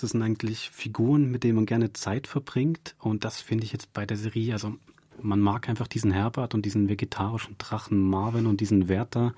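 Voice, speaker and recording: A man's voice: on the low side, warm tone, slightly rough, no echo. A man talking conversationally as a director interviewed in a radio studio.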